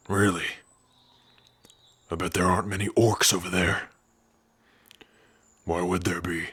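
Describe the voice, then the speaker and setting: Male actor as kind-hearted orc outcast for fantasy ASMR: low, forceful, gruff and raspy, wry and protective. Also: dry recording, heavily acted. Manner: easygoing, wry, addressing a companion directly. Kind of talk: ASMR fantasy roleplay acting.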